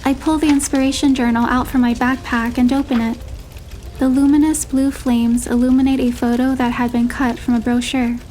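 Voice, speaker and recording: A woman narrating a romantic first-person story in a measured, expressive storytelling manner. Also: sits high. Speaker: narrator and heroine voice.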